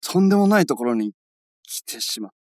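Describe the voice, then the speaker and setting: A woman voicing a hot-headed, bewildered teenage boy in an anime voice drama, with rough boyish speech and blurted retorts. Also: very deep voice, big pitch swings, fast talker, a little mellow, no room sound, studio quality. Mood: indignant.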